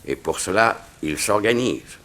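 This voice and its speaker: An actor playing a grave, dignified diplomat with a formal, measured delivery in a 1960s French radio mystery serial. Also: male voice, lowish voice, expressive, fairly bright tone. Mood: solemn, confiding. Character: grave, dignified Siamese diplomat confiding state secrets.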